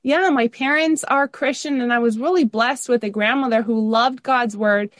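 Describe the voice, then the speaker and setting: High-voiced Canadian woman telling her story. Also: normal speed, dry recording, clean studio sound. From Toronto area, Canada. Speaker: interview guest, university teacher. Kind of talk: personal storytelling in an interview.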